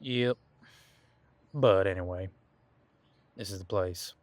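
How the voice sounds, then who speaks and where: A man's voice: low voice, takes it slowly, no echo. A man playing an easygoing, thousands-of-years-old demon detective in an audio roleplay, chatting in a laid-back, folksy, musing way. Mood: relaxed, musing. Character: easygoing ancient male demon detective living on the coast. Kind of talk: conversational one-sided audio roleplay.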